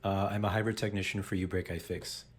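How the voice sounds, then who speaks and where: A man's voice: sits low, level tone, quick pace, a little hiss or hum. A man in his twenties, a dating show contestant, explaining himself hesitantly. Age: 20s.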